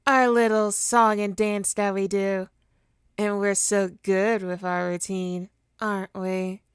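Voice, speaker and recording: A woman's voice: mid-range voice, little pitch movement, slow, clean tone, no echo, clean studio sound, natural voice. A woman playing a smug, teasing supervillain with hypnotic powers in an ASMR roleplay, taunting and theatrical in a condescending way. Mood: smug, playful. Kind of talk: ASMR roleplay monologue to listener.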